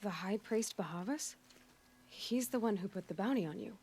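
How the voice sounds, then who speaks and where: A woman's voice: mid-range voice, normal speed, a little mellow, breathy edge. An actress playing a young, plain-spoken hunter in a video game, direct and earnest in her delivery. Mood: firm, probing. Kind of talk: dramatic video game acting.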